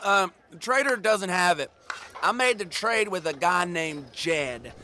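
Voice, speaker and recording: Folksy male frontier type, slow, earnest, high-pitched, Western audio drama over clear background noise. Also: animated, pushed and bright, heavily acted. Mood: matter-of-fact. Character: folksy, protesting half-dead man in a Western. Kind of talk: scripted audio drama acting.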